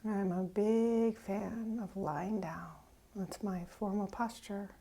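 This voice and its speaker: Slow, mellow-voiced woman guiding a meditation. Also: medium pitch, steady tone. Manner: gentle, pausing, often trailing into a breathy near-whisper. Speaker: meditation teacher. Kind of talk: guided meditation, unhurried and instructive.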